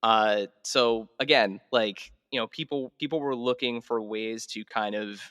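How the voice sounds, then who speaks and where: A man hosting a casual podcast, explaining history in a halting, conversational way. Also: lowish voice, a bit bright, clean tone, dry recording, in a large hall. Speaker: podcast host.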